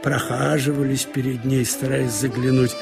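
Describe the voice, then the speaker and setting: Gravelly male storyteller with music behind. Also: medium pitch, normal speed. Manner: conversational, wry storytelling with expressive asides. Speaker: narrator reading literary prose. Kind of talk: dramatic audiobook narration of a short story.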